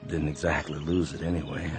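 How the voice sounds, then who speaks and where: A man voicing a weary Confederate soldier walking home, with a gentle, resigned drawl. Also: extremely low pitch, steady tone, brisk. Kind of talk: radio drama acting. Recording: radio drama with music behind.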